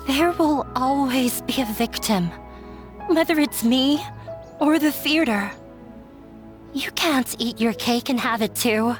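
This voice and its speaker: An actress playing a dejected, self-sacrificing young woman in an anime game dub, confiding in a sorrowful, resigned way. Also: a little high. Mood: bitter, resigned. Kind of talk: English-dubbed anime game voice acting.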